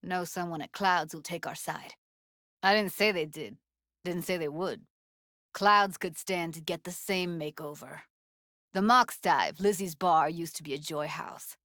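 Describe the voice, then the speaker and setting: Casting: young female techie for a game, lowish voice, blunt, guarded, streetwise, naturalistic and intimate, dry close recording. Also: unhurried, a bit bright, no echo. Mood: matter-of-fact. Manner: casual, clipped, slangy, intimate delivery. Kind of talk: naturalistic video game dialogue acting.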